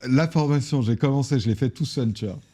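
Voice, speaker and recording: A young French man, a guest on a podcast, telling business stories in a casual, animated way with lots of fillers. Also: medium pitch, brisk. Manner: animated storytelling with many fillers. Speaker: online business founder, guest.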